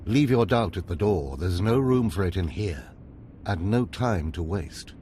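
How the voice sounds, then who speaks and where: An actor playing a stern, scheming older Sith overseer in a video game, speaking in a commanding, measured, formal way. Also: male voice, low voice, animated, dark tone, a little hiss or hum. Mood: stern, instructing.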